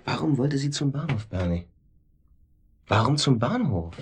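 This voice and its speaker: An actor playing a domineering, controlling man in a radio drama, pressing with questions and swinging between sharp and soothing. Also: medium pitch, very animated, a little slow, slightly rough, exaggerated voice. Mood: subdued, brooding. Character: domineering, controlling man, cynical and coaxing, hiding guilt. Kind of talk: naturalistic radio drama acting. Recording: studio radio play.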